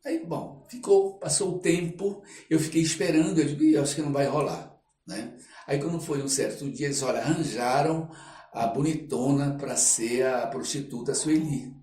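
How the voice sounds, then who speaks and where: A man's voice: on the high side, moderate pace, dark tone. Older Brazilian man, roomy distant recording. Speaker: interview guest, actor and screenwriter. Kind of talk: casual podcast storytelling. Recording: roomy echo, distant microphone.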